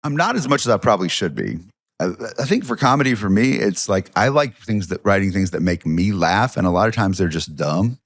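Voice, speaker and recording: A man's voice: low voice, animated, a little fast, dry recording, clean studio sound. A middle-aged man with a Southern US accent, chatting as a podcast guest, telling self-deprecating stories with lots of restarts. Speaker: guest, history professor and comedian. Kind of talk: casual podcast chat.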